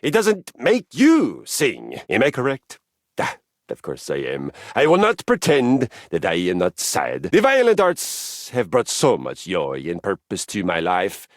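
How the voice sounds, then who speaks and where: A man's voice: on the low side, wildly swooping pitch, a little slow, no room sound, clean studio sound. An actor voicing a boisterous, violence-loving male exercise machine with a Viking spirit, in grand, archaic, theatrical speech. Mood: grandiose. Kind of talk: game voice lines. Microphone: close studio mic.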